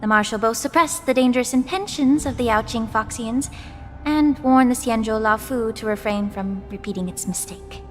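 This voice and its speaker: An actress playing a sharp-minded young woman, a healer's disciple, who questions a general in a formal, probing way, in a game cutscene. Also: fairly high pitch, normal speed, natural voice. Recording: reverb with background music.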